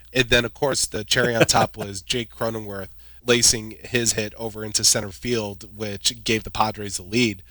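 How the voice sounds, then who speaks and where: A man's voice: fairly low pitch, no room sound. A young man, a podcast guest, telling personal stories in a vivid play-by-play way. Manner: vivid, excited play-by-play retelling with laughs. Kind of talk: animated personal storytelling.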